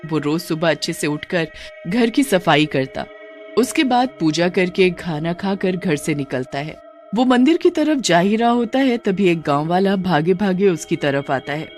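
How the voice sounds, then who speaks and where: A narrator tells a scripted cartoon folk story. The pitch moves up and down in a lively way around a middle register. Music plays behind the voice. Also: a little slow. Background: background music.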